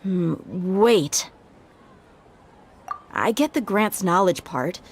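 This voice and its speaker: A woman playing a calm, measured desert guardian in video game voice acting. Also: mid-range voice.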